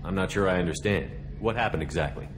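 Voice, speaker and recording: An actor playing a steady human military commander in a video game, asking direct, calm, probing questions in a businesslike way. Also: male voice, low voice, fast talker, over music, natural voice. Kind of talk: video game dialogue acting.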